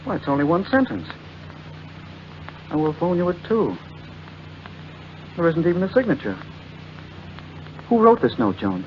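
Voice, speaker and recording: A suspicious, hurt husband from a 1940s radio play. His fairly high voice swings dramatically as he presses and demands. The recording is muffled, with steady noise. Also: unhurried, long pauses. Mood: suspicious, demanding. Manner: theatrical, insistent.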